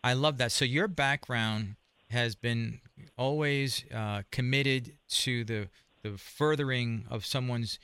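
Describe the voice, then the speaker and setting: A man's voice: medium pitch, takes it slowly, pushed and bright, clear, resonant tone, no room sound. A man hosting an interview show, asking long, hesitant questions full of restarts. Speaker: show host.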